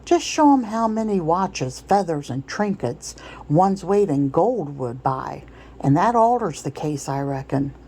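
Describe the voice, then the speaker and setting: A woman's voice: low-pitched, sing-song, unhurried, dark tone, full character voice, low-quality recording. A woman voicing a coarse, pushy male slave trader in a storybook read-aloud, with a wheedling, blustering, folksy rustic way of talking.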